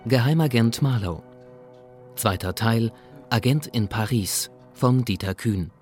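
A man's voice: mid-range voice, a little slow, a little mellow. A man reading radio play credits as a scripted announcement. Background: faint music.

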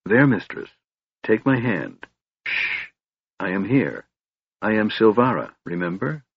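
A man voicing a gentle elven maidservant with soft, soothing, hushed speech in a fantasy audiobook. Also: lowish voice, expressive, normal speed, slightly rough, no room sound, lo-fi sound.

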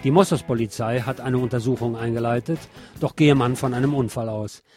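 A man's voice: medium pitch, steady tone, fairly quick, a little mellow. A man reading scripted radio news and commentary in a wry, sardonic manner. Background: guitar music.

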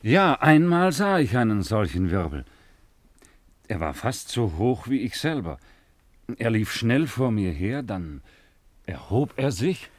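A man's voice: on the low side, very animated, measured pace, no room sound. A man playing a deferential, devout attendant to an emperor in a 1950s radio drama, earnest and respectful. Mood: musing.

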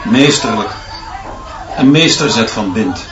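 An actor playing a strict schoolteacher in a radio play, speaking in terse, clipped phrases. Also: male voice, fairly low pitch, animated, slow, dull audio, over music. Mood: tense, admiring. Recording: distant, echoey, noisy crowd behind.

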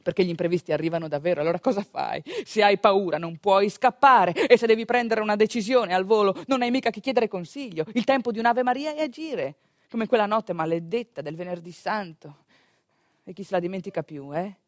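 A woman performs a theatrical storytelling monologue for radio, conversational and emphatic, with dramatic pauses and repetitions. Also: mid-range voice, dry recording, studio quality. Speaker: actress narrator of a radio monologue.

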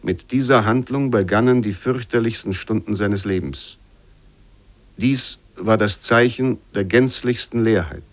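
An actor playing a solemn reciter who reads old literary prose deliberately for a radio play. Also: male voice, fairly low pitch, lightly acted. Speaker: reader of literary quotations.

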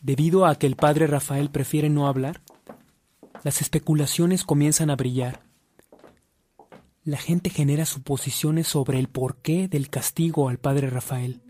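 A man's voice: fairly high pitch, fairly even intonation, measured pace, a little mellow. A man reading scripted narration for a radio drama as its storyteller.

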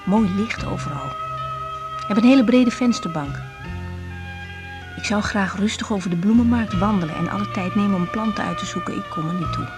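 An actress playing a young, sensitive, tired woman doctor in a radio drama, speaking gently and reflectively with a Netherlands Dutch accent. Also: medium pitch, expressive, fairly quick, over music, natural voice. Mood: weary, confiding. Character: young female doctor, weary, sensitive, caring. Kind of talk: radio drama acting, intimate diary monologue and dialogue.

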